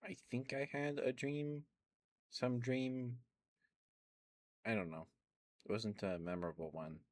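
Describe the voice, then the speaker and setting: A man with a very deep voice rambles softly into a close mic during a live ASMR stream. He meanders between topics, filling pauses with ums and small laughs. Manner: meandering, chatty, lots of ums and little laughs. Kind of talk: soft rambling ASMR chat with live viewers.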